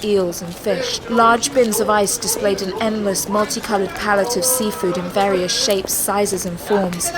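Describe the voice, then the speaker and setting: A woman narrating a scripted audio drama in a measured, descriptive storytelling style. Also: medium pitch. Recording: loud street and vehicle ambience behind.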